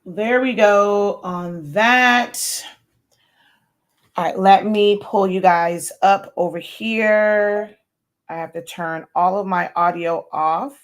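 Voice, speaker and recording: A hoarse woman hosts a casual livestream, talking loosely to viewers as they join. Her voice is worn and scratchy, her speech full of fillers and little laughs. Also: mid-range voice, fairly even intonation, slow pace. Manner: warm greetings, frequent laughs and fillers. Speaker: host.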